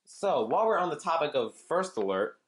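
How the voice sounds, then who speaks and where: A young man rambling casually as he shows off his gadgets, with frequent fillers, halting restarts and side remarks. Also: fairly high pitch, big pitch swings, noisy background. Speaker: channel host showing weather radios. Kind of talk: casual show-and-tell chat.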